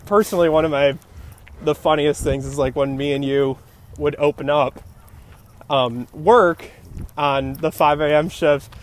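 A man's voice: on the high side, fairly even intonation, measured pace. A young man co-hosting a podcast, chatting casually, rambling with fillers and laughing often. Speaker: podcast co-host. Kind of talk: casual chat.

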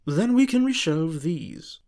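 A woman voicing a prim, fussy, gentle male angel bookseller with polite, old-fashioned manners, in a storybook reading. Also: low voice, sing-song, slow, full character voice. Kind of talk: audiobook character voice.

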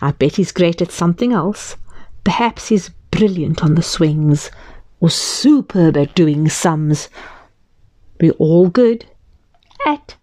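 A woman's voice: on the low side, expressive, no echo. A woman playing a joyful, reassuring mother. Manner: warm, reassuring, slow and emphatic. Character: joyful, gentle mother reassuring her son.

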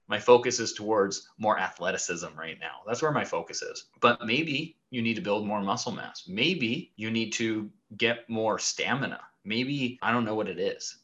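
Blunt, bright-voiced male motivational speaker. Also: fairly low pitch, muffled recording. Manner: blunt, casual, swears freely. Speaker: podcast host and coach. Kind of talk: motivational monologue.

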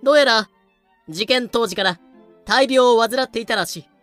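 A woman's voice: fairly high pitch, moderate pace, fairly bright tone, soft background music, lightly acted. A woman playing an aggrieved, earnest colonial official in a fan-made audio drama. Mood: earnest, explaining.

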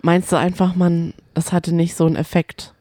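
A woman's voice: lowish voice, flat delivery, normal speed, dark tone, no room sound, studio quality. A woman, chatting casually as a podcast co-host about reality TV.

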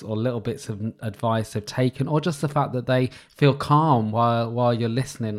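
Male podcast host, calm and sincere, lowish voice, soft-spoken monologue. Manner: soft-spoken with frequent pauses and restarts. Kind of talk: solo reflective podcast talk.

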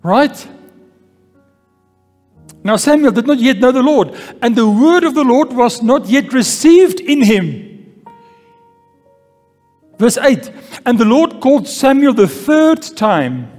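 A man's voice: very high-pitched, very animated, slow pace, long pauses, a little mellow. A man pastor preaching a sermon and reading scripture, calling out "Amen?" to the congregation and repeating key phrases. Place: church hall. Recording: long church-hall reverb with faint music.

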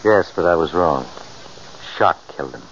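An actor playing a calm, reassuring doctor in a 1940s radio drama, speaking in a soothing, deliberate way. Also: male voice, rumbling low, slight background noise. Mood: grave. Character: steady, reassuring country doctor tending a wounded man. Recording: muffled old radio recording.

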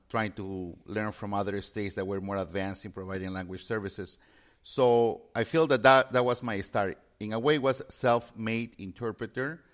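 A man from Peru, a native Spanish speaker, answering interview questions about his work in a halting way with many fillers and restarts. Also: on the low side, clear, resonant tone. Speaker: interpreting business guest.

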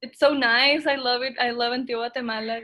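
A woman's voice: on the high side, steady tone, fast talker, bright, forceful tone. A teenage girl from El Salvador hosting a casual podcast chat, chatty and upbeat with many fillers. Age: teens. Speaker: podcast host.

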